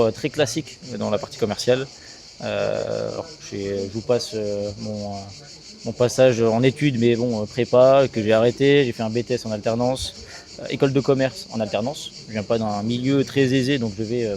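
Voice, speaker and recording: Fast-talking man from Alsace. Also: medium pitch, creaky, darkish tone. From Alsace, France. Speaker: sales agency co-founder being interviewed. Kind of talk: casual interview.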